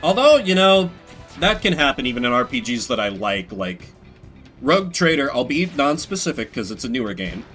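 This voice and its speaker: Bright-voiced man chatting over music. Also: medium pitch, normal speed. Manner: rambling, animated retelling with acted-out quotes. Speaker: game streamer. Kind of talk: casual livestream chat with viewers.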